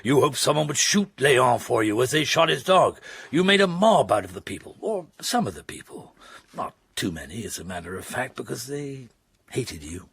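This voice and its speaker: An actor playing a shrewd male chief inspector in a radio drama, with a gravelly husky voice and measured, dry delivery. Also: mid-range voice, big pitch swings, fairly bright tone, no room sound, exaggerated voice. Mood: stern accusation.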